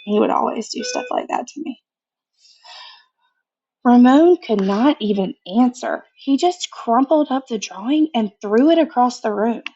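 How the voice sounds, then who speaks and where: Slow-paced, higher-voiced woman reading to children. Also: dull audio. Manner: expressive, conversational, pauses to comment. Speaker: picture book reader. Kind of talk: children's read-aloud with casual asides.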